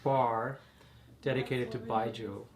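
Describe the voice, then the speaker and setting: A man's voice: medium pitch, fairly even intonation, takes it slowly. A man with an American accent speaking casually as a bar co-founder, explaining things in an interview. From United States.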